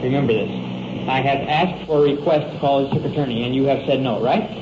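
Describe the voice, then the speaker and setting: A man's voice: mid-range voice, fast talker. An American man arguing at a police booking desk, speaking in formal, pedantic, indignant phrases. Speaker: arrested suspect being booked. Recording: muffled, noisy 1950s field radio recording.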